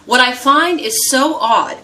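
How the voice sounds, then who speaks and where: Bright-voiced American woman, emphatic vlog chat. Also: high voice, unhurried, far from the mic. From United States. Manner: emphatic, repeats herself, keeps asking "okay?"; talking while doing her makeup. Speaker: vlogger. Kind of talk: casual talk to camera.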